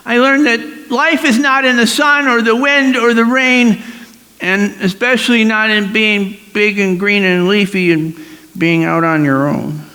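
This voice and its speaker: A performer voicing a proud, silly little vine branch in a theatrical storybook skit, with whiny, melodramatic, halting delivery. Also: medium pitch, fairly even intonation, sharp tone. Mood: humbled, sincere. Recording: long hall reverb.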